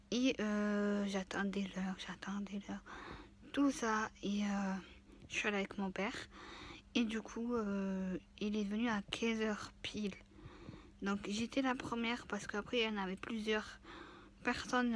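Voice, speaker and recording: A woman's voice: mid-range voice, a little hiss or hum. A woman in her twenties with the flu and a stuffy nose, chatting casually in a vlog and rambling with many fillers. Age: 20s. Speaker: vlogger. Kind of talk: casual chat.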